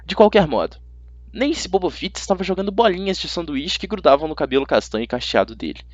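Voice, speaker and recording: A man with a Brazilian accent reading a first-person youth fantasy novel as an amateur audiobook narrator, in an expressive, conversational storytelling way. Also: medium pitch, no room sound, lo-fi sound.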